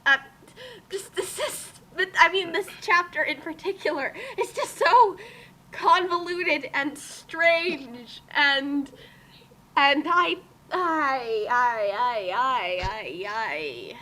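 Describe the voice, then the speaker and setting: A woman's voice: high-pitched, takes it slowly, sharp tone. A woman in a casual comedic group commentary, rambling with melodramatic exasperation and stammering. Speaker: co-host of a dramatic fan fiction reading.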